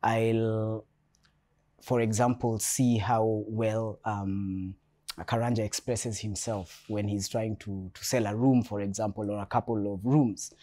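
A Kenyan man from Nairobi speaking as a guest on a panel, measured and reflective, often restarting his sentences. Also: fairly low pitch, flat delivery, warm tone. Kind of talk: panel discussion. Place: hotel event space.

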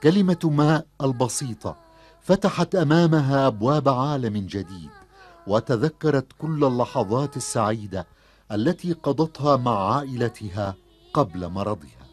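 A man's voice: medium pitch, lively intonation, normal speed. Male storybook narrator with mid-range voice. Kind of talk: scripted storytelling narration for a children's cartoon.

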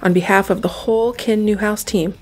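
A woman reading a scripted closing announcement for a business podcast in a polished, steady way. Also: medium pitch, brisk, soft background music.

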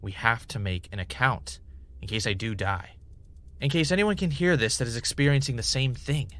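A man's voice: medium pitch, animated, moderate pace, a bit bright, no room sound, natural voice. A man playing a driven, uneasy podcaster investigating mysterious tapes, earnest and conversational in an audio drama. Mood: urgent, insistent. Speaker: podcast host.

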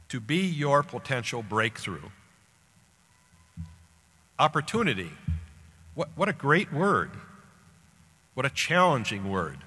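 A man's voice: medium pitch, pushed and bright. Older American man speaking in a reverberant hall. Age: older, about 70. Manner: deliberate short phrases with emphatic pauses. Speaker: guest speaker at a graduation ceremony. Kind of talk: formal commencement address read from a script. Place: large indoor auditorium stage at a podium. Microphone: podium microphone. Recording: echoey hall public-address sound.

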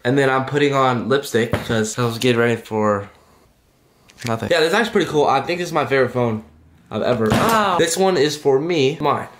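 A man's voice: medium pitch, animated, fairly quick. Congested young man vlogging, noisy background. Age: 20s. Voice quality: congested, stuffy nose. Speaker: YouTube vlogger, custom artist. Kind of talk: casual, joking vlog talk to camera. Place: indoors, room at home.

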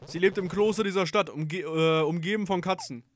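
A man voicing a wary, fearful townsperson in a video game, speaking in a low, gloomy murmur. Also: brisk, a little hiss or hum, lightly acted.

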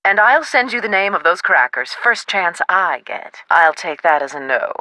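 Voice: medium pitch, animated, normal speed, bright, forceful tone, no echo, rough recording. An actor playing a self-assured, chatty, theatrical historical novelist in a video game. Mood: chatty, proud. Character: high-spirited, self-promoting writer of historical novels, local history buff. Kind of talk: video game character dialogue.

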